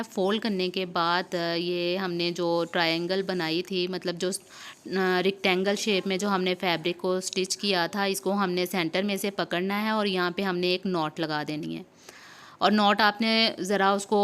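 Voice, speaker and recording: A woman's voice: medium pitch, fairly bright tone, smooth. A woman hosting a sewing tutorial, explaining each step informally and repeating herself as she goes. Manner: explanatory, repeats steps and often checks in. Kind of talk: informal step-by-step instructional narration.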